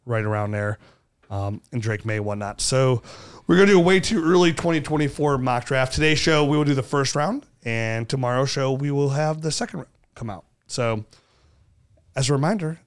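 A man's voice: medium pitch, normal speed, clear, resonant tone. A man hosting a casual podcast while sick with a cold, talking in an easygoing, rambling way and cracking jokes. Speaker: podcast host.

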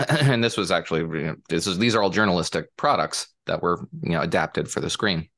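Deep-voiced man in halting casual chat. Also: fairly bright tone. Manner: hesitant, many fillers and restarts. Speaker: panelist. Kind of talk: casual podcast chat about TV.

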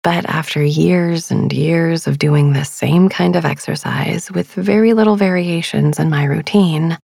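A woman hosting a sleep meditation podcast, speaking softly and gently, with some breathiness. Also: low voice, unhurried, gravelly, no room sound, studio quality. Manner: gentle, reassuring. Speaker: podcast host. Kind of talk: soothing sleep meditation narration.

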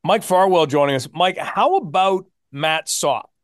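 A man's voice: a little high, animated, measured pace, no echo, clean studio sound. A man hosting a sports podcast, asking questions in an emphatic, presenterly way. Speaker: sports podcast host and interviewer. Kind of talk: hosted sports interview.

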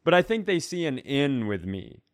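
A man with a mid-pitched voice chats as a comedy podcast co-host. He riffs in a joking, mock-earnest way, and the sound is dry with no room echo. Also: very animated, normal speed. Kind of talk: joking casual chat.

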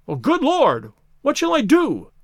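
Man voicing a frantic, blustering, high-pitched stranger. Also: very animated, fairly quick, no room sound, clean studio sound. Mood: desperate. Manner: shouting, blustering, exclamatory.

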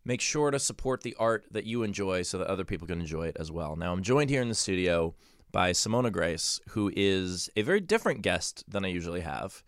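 A man's voice: low voice, very animated, brisk, clear, resonant tone, no echo. A man hosting a casual interview show in a studio, talking conversationally and reworking his sentences as he goes. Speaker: podcast host and interviewer.

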